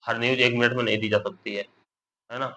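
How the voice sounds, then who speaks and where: Bright, forceful young man explaining. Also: mid-range voice, flat delivery, fast. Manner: repetitive, plain-spoken explaining, with colloquial asides to listeners. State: slightly unwell. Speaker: exam news vlogger. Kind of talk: informal explanatory update to viewers. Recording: dull lo-fi audio, faint background noise.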